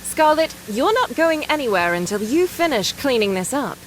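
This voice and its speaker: A woman's voice: high-pitched, big pitch swings, normal speed, a little hiss or hum, poor audio. A woman voice-acting a proper, saintly young woman in a video game, earnest, polite and precise in her way of speaking. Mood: scolding.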